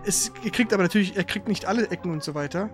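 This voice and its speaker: A man's voice: high-pitched, racing. A man chatting casually and ramblingly as he co-hosts a live game stream. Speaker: streamer and co-host. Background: game music.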